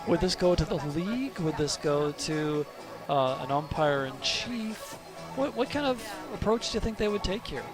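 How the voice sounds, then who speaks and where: A man doing live sports commentary, deliberate and questioning as he works through the play. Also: on the high side, animated. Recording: live sports broadcast with music behind.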